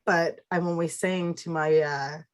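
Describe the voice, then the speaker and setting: A woman with a low voice, a podcast guest speaking reflectively and in imagery during a casual interview. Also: steady tone, measured pace.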